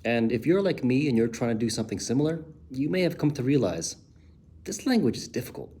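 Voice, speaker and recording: A man explaining the ideas behind a language point in a measured, scripted style, leaning on key words. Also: medium pitch, big pitch swings, warm tone, breathy edge. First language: English.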